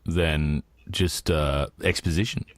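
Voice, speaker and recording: A man's voice: very deep voice, fairly even intonation, slow, no room sound. A man co-hosting a podcast in casual creative discussion, often repeating and rephrasing himself. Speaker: podcast co-host.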